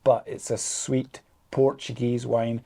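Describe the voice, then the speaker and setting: A man with a Scottish accent, co-hosting a casual chat in an easygoing way, often laughing and pausing on fillers. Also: medium pitch, little pitch movement, vocal fry, warm tone. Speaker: co-host.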